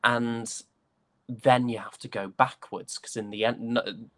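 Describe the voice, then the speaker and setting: A young British man chatting casually as an interview guest, an actor recounting his roles in a hesitant, halting way. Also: mid-range voice, fairly even intonation, sharp tone, dry recording. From United Kingdom.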